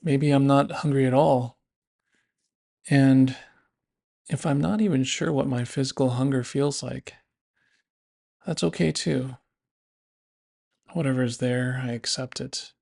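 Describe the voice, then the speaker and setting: A man narrating a guided body scan meditation in a gentle, unhurried, instructive manner. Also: medium pitch, steady tone, vocal fry.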